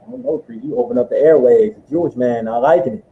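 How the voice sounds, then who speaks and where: A man's voice: mid-range voice, very animated, fast talker, a little hiss or hum. A man assisting a preacher as scripture reader, reading verses aloud and answering him. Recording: tinny phone-like line with roomy echo.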